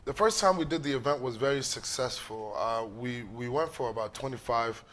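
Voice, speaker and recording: A man from Ghana and New York giving explanatory interview answers as an executive guest, in short, deliberate phrases. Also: mid-range voice, fairly bright tone, a little hiss or hum.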